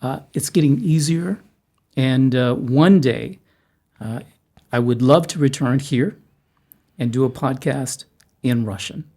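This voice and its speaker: A man's voice: mid-range voice, takes it slowly, long pauses, a little mellow. A man hosting a podcast interview, talking in a chatty, self-deprecating way with frequent restarts. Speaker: podcast host. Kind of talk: casual interview chat. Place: studio room in Moscow.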